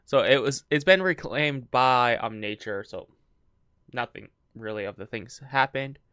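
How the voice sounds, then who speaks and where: A man's voice: mid-range voice, unhurried, fairly bright tone, smooth, no echo, clean studio sound. A man co-hosting a casual podcast, reading out an account, stumbling over words and laughing often. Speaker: podcast co-host. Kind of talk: casual chat, reading a story.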